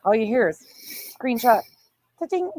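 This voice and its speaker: A woman's voice: fairly high pitch, big pitch swings, normal speed, darkish tone, a little hiss or hum. A woman giving casual, sarcastic livestream commentary, blunt and mocking, with some swearing. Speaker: livestream host reacting to another creator's stream.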